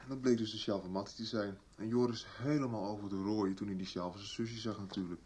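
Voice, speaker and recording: Man acting as a chatty young policeman, mellow voice. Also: on the low side, fairly even intonation, fast, a little hiss or hum, lightly acted. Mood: eager storytelling. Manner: colloquial, chatty. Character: young policeman son recounting his case to his mother. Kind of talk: 1990s Dutch radio soap acting.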